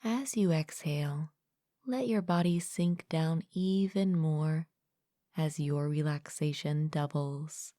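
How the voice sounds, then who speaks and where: A woman leading a guided meditation as a mindfulness coach, speaking gently and calmly in a soft, breathy, partly whispered voice, close to the mic. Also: deep voice, no room sound. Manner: gentle, calm, unhurried with long pauses. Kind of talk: guided meditation, soothing scripted narration.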